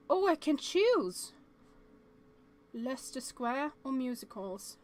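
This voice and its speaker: A woman streaming a game with casual, expressive commentary, thinking aloud and reading on-screen text as she goes. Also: sits high, slow pace.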